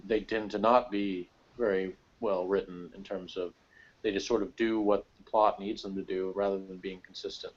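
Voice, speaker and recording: A man's voice: low voice, level tone, normal speed. A man co-hosting a casual, opinionated chat, talking in a meandering, conversational way peppered with "like". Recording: slightly dull, lo-fi voice recording.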